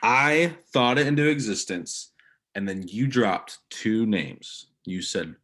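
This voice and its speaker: A man's voice: lowish voice, animated, slow, a bit bright. A young man co-hosting a casual sports podcast, upbeat and eager when telling a story. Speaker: podcast co-host.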